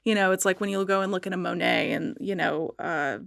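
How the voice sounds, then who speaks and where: A woman's voice: lowish voice, fairly quick, vocal fry, a bit bright, no room sound. A woman guest in a casual podcast conversation, enthusiastic and loosely rambling.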